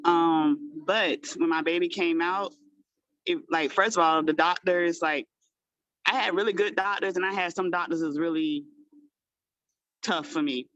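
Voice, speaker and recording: A woman's voice: fairly low pitch, measured pace, long pauses, fairly bright tone, no echo, dull audio. A woman in her thirties, a guest mother speaking in a candid, rambling, heartfelt way. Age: 30s. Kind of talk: personal storytelling.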